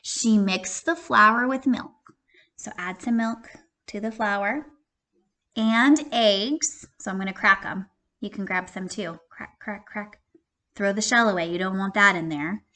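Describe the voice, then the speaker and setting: Primary teacher, female. Slow, bright, higher-pitched delivery; expressive story reading with questions for young children. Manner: expressive, questioning, addressing young listeners directly. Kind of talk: interactive children's read-aloud.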